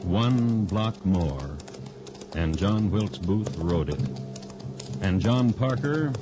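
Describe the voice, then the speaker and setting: A wry host of a true-crime radio series, with a low voice and expressive swings. He narrates deliberately and dramatically. It is a muffled 1950s broadcast. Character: wry, measured male crime chronicler hosting a radio series. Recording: dull, noisy 1950s radio recording.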